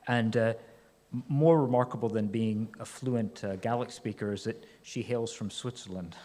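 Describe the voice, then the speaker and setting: A man's voice: mid-range voice, normal speed, mellow. A man introducing the next speaker at a talk, hesitant with many fillers. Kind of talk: lecture introduction. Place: lecture hall. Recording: long hall reverb, faint room noise.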